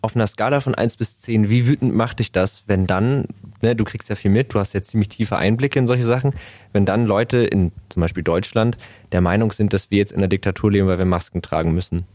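A man's voice: on the low side, brisk, a little mellow, no echo. A man hosting an interview, asking long rambling questions with fillers. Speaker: podcast host. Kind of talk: interview conversation.

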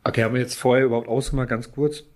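A man's voice: medium pitch, fairly even intonation, racing, darkish tone. A man playing a guessing game with a friend, thinking aloud with hesitant fillers and laughing. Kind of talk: casual game chat.

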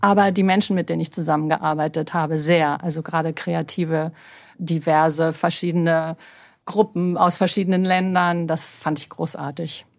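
Relaxed woman with gravelly voice. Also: low-pitched, moderate pace, no echo, clean studio sound. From Berlin, Germany. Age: 50s. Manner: easygoing, laughs while talking, many fillers. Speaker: interview guest, personal health coach. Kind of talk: relaxed conversational interview.

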